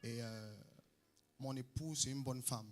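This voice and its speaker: A man from Cameroon preaching from the pulpit in short, clipped phrases and stopping after each one. His pitch is middling for a man, and he rises into loud calls of praise between the lines. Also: measured pace. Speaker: pastor. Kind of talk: sermon delivered through an interpreter. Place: church hall.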